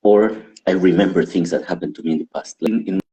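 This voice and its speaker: Deep low voiced guy from guatemala, comedian rambling about his act, laughs a lot. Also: fast talker, dark tone. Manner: rambling, self-deprecating, laughs often. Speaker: stand-up comedian, guest. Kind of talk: casual interview chat.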